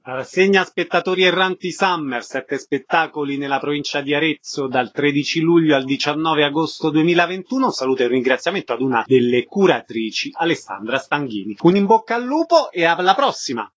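Man, radio host, fairly high voice, concise announcer delivery, dry slightly dull sound. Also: lively intonation, brisk, few pauses, a bit bright, no echo. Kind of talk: scripted radio interview hosting.

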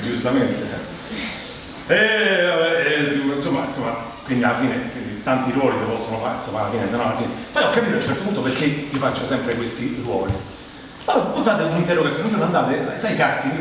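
A middle-aged man with a Roman Italian accent performs a self-mocking comic monologue in a colloquial, theatrical way, full of asides. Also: medium pitch. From Rome, Italy. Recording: very echoey hall, distant mic, clear noise.

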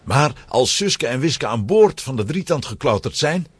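A man's voice: medium pitch, sing-song, moderate pace, husky. A man narrating a children's adventure story in a dramatic, scripted storytelling style.

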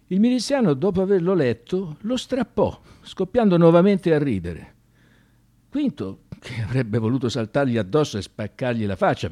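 A man narrating a dramatic scripted story in an expressive, theatrical radio-theatre manner. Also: mid-range voice.

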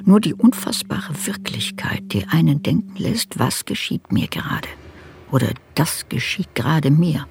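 An actress playing a reflective woman writer in a radio play, recalling her girlhood in a sober, deliberate, introspective way. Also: sits low, sing-song, whispered, over music, exaggerated voice. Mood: stark, haunted. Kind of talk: literary radio play narration.

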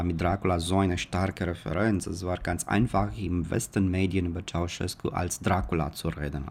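A man's voice: low-pitched, creaky. A man around forty with a Romanian accent, a historian talking off the cuff in an interview in his second language, searching for words. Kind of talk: spontaneous interview talk.

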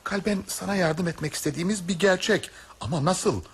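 A man's voice: high voice, brisk, gravelly, slightly rough, exaggerated voice. A man playing a well-meaning but doubtful, anxious train passenger in a Turkish radio play, speaking emphatically and repeating phrases. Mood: anxious pleading. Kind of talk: Turkish radio theatre acting. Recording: noisy old radio drama recording.

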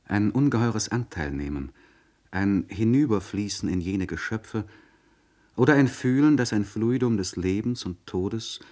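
Deep-voiced, solemn nobleman. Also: lively intonation, darkish tone. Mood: earnest. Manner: solemn, searching, elevated diction with deliberate phrasing. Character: anguished, articulate nobleman confiding by letter to a friend. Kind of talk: literary radio play monologue.

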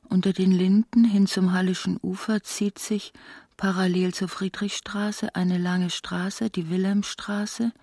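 Woman narrating a literary radio drama, measured, not much melody, close dry sound. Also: on the low side, dark tone, no room sound. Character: female storyteller of a wry literary radio play.

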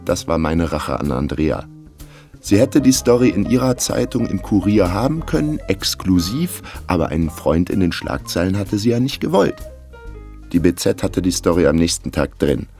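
Wry broke young guy narrating his radio crime story, deep gravelly voice, dry n laconic, bit of music under. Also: big pitch swings, moderate pace, a little mellow. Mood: wry, defiant. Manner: dry, laconic, self-ironic. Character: wry broke young Berlin man telling his own misadventure. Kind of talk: first-person radio play narration.

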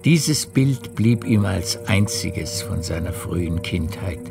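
A man reads literary narration for a radio play. His voice is deep and gravelly, with music behind it. He tells the story in a measured way. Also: animated.

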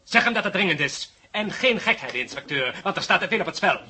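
An actor playing a suave, loyal stage magician and hypnotist, coolly menacing and commanding, in a 1970 radio drama. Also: male voice, high voice, animated, very noisy. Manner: self-assured, deliberate, commanding.

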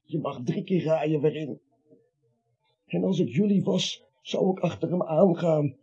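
An actor playing a dying, irritable and absurdly witty little man in a comic radio play, husky and wry. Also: a little high, unhurried, dark tone, full character voice. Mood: weak, testy. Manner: testy, wry. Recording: dull lo-fi radio recording.

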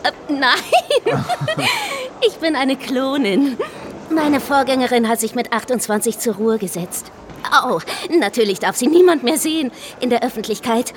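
High, lilting naive young soap starlet. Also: female voice, sing-song, a little slow, noisy background. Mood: friendly, shy. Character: bright, naive young female clone soap actress. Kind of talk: radio drama acting.

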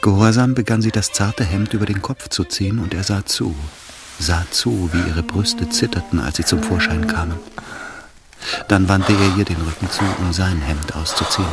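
Deep-voiced male literary narrator over music. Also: moderate pace. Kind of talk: scripted literary narration in radio drama. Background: loud music.

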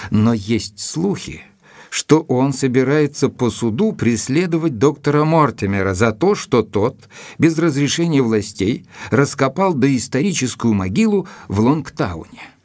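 An actor playing an observant, loyal gentleman who reports a mystery in a measured, formal, deliberate way, as in an audio drama. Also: medium pitch, expressive, dry recording. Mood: measured, reflective. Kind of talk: scripted audio drama narration, reading out a letter. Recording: studio recording with music underneath.

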